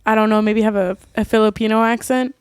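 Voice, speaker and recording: A woman's voice: a little high, steady tone, fast talker, clear, resonant tone, no echo, clean studio sound. A young woman from Boston co-hosting a podcast, reflective and questioning in casual chat. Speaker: podcast co-host.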